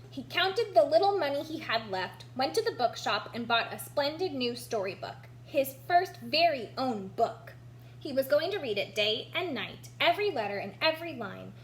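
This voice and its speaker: A woman's voice: high-pitched, low-quality recording. A woman reading a children's storybook aloud expressively, narrating for young listeners. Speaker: storybook reader. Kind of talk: animated children's read-aloud with picture commentary. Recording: noticeable background hum.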